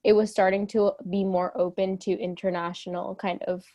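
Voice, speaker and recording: A woman's voice: mid-range voice, little pitch movement, moderate pace, warm tone, muffled recording. A young adult woman from New York, a guest in an interview, answering thoughtfully with small laughs. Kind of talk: casual interview chat.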